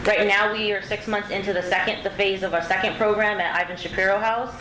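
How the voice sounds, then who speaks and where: A woman's voice: mid-range voice, fairly even intonation, fast. Earnest woman panelist in an echoey room. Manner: earnest, repeats and restarts phrases. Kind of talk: panel discussion. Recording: echoey room with background noise.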